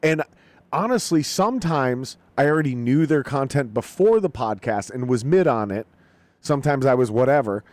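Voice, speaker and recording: A man's voice: medium pitch, moderate pace, no echo. A man hosting a podcast, talking loosely and profanely, with jokes at his own expense. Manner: profane, animated, self-deprecating jokes. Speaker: podcast host. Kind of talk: casual podcast chat.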